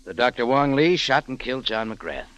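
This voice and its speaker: A man's voice: medium pitch, lively intonation, moderate pace, fairly bright tone, natural voice. An American man playing a tough, wisecracking adventurer and narrator in a 1940s radio detective drama, with a clipped, brash manner. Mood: guarded. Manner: clipped, brash, hard-boiled. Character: tough, wisecracking private adventurer in Cairo, hard-boiled narrator. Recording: old radio broadcast with music.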